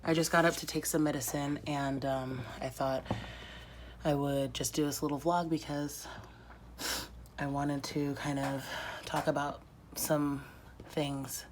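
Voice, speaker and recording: A woman in her thirties vlogging early in the morning, congested and losing her voice, talking in a halting, reflective way with a whispery, breathy tone. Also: low-pitched, flat delivery, slight background noise. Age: 30s. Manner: halting, reflective, many pauses and fillers. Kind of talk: casual confessional vlog.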